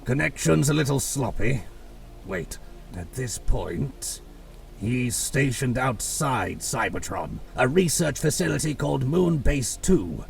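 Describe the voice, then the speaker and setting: A man as a gravelly, wry robot scout. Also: medium pitch, sing-song, slow pace, exaggerated voice. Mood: wry, pondering. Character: wry, analytical robot scout weighing options. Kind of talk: animated-series character acting. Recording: distant mic, music underneath.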